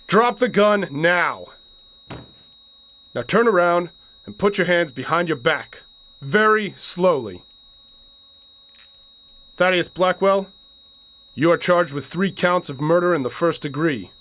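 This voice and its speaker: A hard-boiled sheriff in a radio thriller, with a fairly high male voice. He is stern, commanding and deliberate, over clearly audible background noise. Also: lively intonation, a bit bright, heavily acted. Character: stern, hard-boiled small-town sheriff making an arrest.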